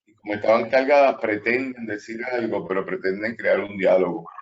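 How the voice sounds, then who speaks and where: Male host, low voice, rambling intro, lo-fi audio. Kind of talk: interview introduction.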